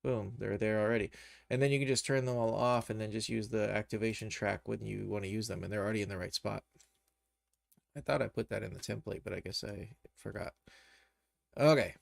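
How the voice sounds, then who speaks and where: A man with a fairly low, creaky voice walks through software in a casual live tutorial. He talks to himself as he works, hesitating along the way, and the sound is close and dry. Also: steady tone, a little fast, dry recording. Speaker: streamer, animation tutor.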